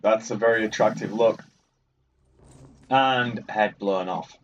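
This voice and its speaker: A man's voice: on the low side, slow pace, dull audio, slight background noise. A man giving casual let's play commentary in a rambling, offhand, dryly wry way. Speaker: gaming video host.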